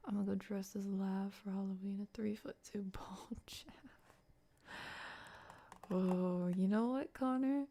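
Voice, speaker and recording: A soft-voiced woman chatting playfully and casually to viewers on an ASMR livestream, often dropping into a whisper. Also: mid-range voice, steady tone, unhurried, long pauses. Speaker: ASMR live streamer.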